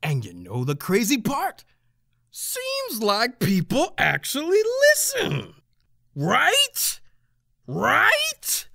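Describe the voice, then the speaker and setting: Actress as wildly animated, sassy town commentator woman. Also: on the high side, extremely slow, creaky, no room sound, clean studio sound, exaggerated voice. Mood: incredulous, animated. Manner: theatrical, slangy, emphatic repetition. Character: sassy, excitable female town commentator addressing her listeners. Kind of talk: slangy monologue in an audio drama.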